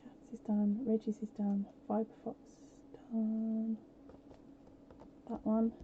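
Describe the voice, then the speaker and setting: A woman hosting a live prize quiz on her stream, chatty and self-deprecating with lots of fillers, her voice a little croaky. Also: a little high, completely flat delivery, takes it slowly, a little hiss or hum. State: slightly croaky voice. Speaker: podcast host running a live prize quiz. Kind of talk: casual live-stream chat. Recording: dull lo-fi home stream audio.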